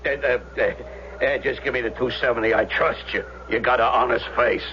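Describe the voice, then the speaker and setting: Actor as folksy gas attendant, noisy old radio. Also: male voice, medium pitch, fairly even intonation, normal speed, lightly acted. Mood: trusting. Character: plain, trusting, kindly gas station attendant. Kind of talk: 1970s radio drama dialogue. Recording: noisy, muffled vintage radio.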